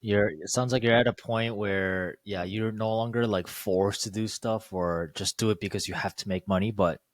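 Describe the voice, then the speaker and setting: A young man co-hosts a casual podcast, friendly and encouraging, with a voice that sits on the low side. The sound is dry and close, with little room around it. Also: steady tone, moderate pace, no room sound. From Korea, raised in Chicago suburbs from age nine. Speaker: podcast co-host. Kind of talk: casual chat.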